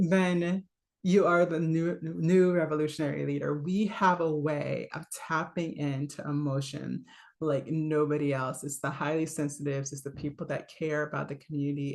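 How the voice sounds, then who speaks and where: A woman coach with a low voice, giving a casual, chatty talk full of fillers, little laughs and "right?". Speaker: voice and leadership coach.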